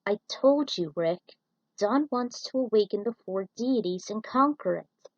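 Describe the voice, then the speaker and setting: A woman's voice: mid-range voice, expressive, a little slow, no room sound, recorded from a distance, highs cut off. A woman acting out a stern woman pilot from a sci-fi storybook. She explains things firmly to her cadets, in a mid-range voice with a dry sound. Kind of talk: story character dialogue.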